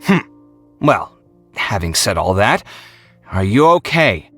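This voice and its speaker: An actor playing a sarcastic, easily exasperated young housemate in a game cutscene. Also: male voice, on the low side, big pitch swings, takes it slowly, fairly bright tone, faint music behind, heavily acted.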